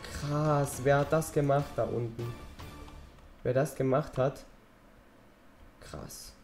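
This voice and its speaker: Teen boy gamer, a bit hoarse. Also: mid-range voice, steady tone, slow pace, faint music behind. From German-speaking Europe. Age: teens. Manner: rambling, chatty, talks while gaming. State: slightly hoarse from a cold. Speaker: gaming channel host. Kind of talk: casual let's-play commentary.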